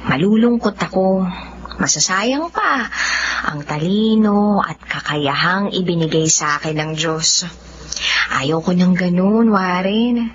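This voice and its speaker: Casting: gentle, resilient young woman in radio drama, lowish voice, dull faintly noisy recording. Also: lively intonation, slow pace. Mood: earnest, hopeful. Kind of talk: Filipino radio drama acting. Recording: dull audio with faint noise.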